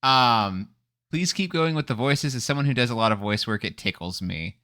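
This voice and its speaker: An upbeat man hosts a casual interview, joking and keeping things moving. His voice sits mid-range with a bright edge. The sound is dry and close. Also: medium pitch, lively intonation, normal speed, clear, resonant tone, no echo. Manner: upbeat, playful, laughs often. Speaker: host and interviewer. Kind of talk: casual chat.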